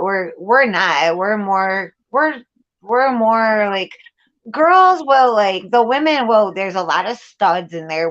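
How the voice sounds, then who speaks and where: A woman's voice: mid-range voice, highs cut off. A woman chatting candidly and casually, telling stories in blunt, profane slang. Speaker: talk show panelist recounting prison life.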